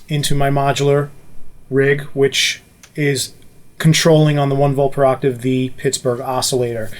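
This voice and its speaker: A man talking casually as a music producer, walking through a gear demonstration. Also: medium pitch. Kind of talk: casual explanatory tutorial talk.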